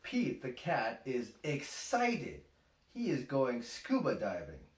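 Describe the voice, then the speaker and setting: A man reads a children's picture book very slowly and deliberately, with lively swings in pitch. He sounds a little way from the microphone in an echoey room at home. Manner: deliberate, expressive, simple phrasing. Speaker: storytime host, father reading aloud. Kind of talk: slow picture-book read-aloud for young children. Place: small room at home, indoors. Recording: roomy, distant home-camera sound.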